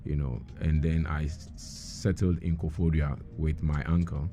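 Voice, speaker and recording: A very deep, mellow-voiced middle-aged man who grew up in Nigeria speaks as a guest on a radio interview. He tells his story earnestly and at length, often pausing on ums and restarting his sentences. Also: flat delivery, faint music behind. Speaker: guest entrepreneur.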